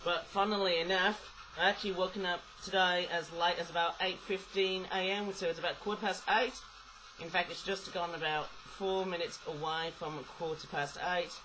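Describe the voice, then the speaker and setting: Croaky just-woke-up guy, bit high voiced, rambling toy review on his webcam, faint hiss. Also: steady tone, normal speed. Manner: rambling, self-correcting, chatty asides. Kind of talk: casual unboxing review.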